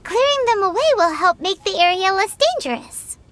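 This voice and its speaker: A tiny fairy companion from a video game, with an extremely high, childlike voice. The pitch swings in a lively way, and the delivery is chirpy, blunt and full of exclamation. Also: female voice, moderate pace. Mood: perky, thoughtful. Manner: speaks of herself in the third person, exclaiming. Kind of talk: video game cutscene acting.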